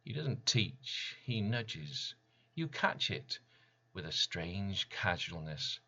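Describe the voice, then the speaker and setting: A man giving a dramatic reading of his own poem in solemn, deliberate short phrases, with slightly muffled sound. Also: low-pitched, very animated, pushed and bright. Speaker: poet reading own work. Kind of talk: dramatic poetry recital.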